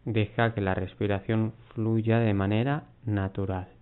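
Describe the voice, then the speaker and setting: A man guiding a mindfulness body scan, giving unhurried instructions in short phrases with long pauses. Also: on the low side, little pitch movement, smooth. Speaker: meditation guide. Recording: muffled, phone-like narrow band.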